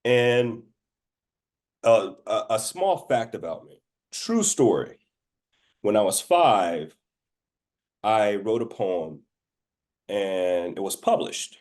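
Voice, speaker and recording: Male podcast guest, low voice, from the rural American South, relaxed informal storytelling. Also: slow pace, long pauses. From southern United States, rural Georgia and Florida Panhandle. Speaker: interview guest, actor. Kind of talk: casual conversational storytelling.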